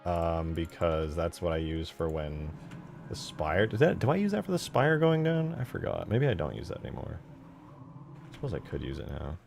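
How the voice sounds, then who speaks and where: A man's voice: low voice, sing-song, normal speed, vocal fry, darkish tone. A man commenting casually on his screen as he works on a game mod, thinking aloud in informal, sweary language. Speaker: game modder, channel host. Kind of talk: casual screen-share commentary while working. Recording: music behind the voice. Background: music.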